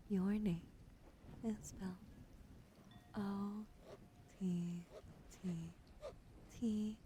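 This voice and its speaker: A young woman whispering softly and slowly to viewers on an ASMR stream, close to a sensitive microphone at her desk. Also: medium pitch, steady tone, a little hiss or hum. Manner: soft unvoiced whisper, slow and soothing.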